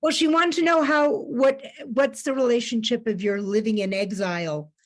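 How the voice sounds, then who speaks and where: A woman's voice: fairly high pitch, normal speed. A woman co-hosting a panel who puts questions to the guests in a thoughtful way. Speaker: co-host. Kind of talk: moderating a panel.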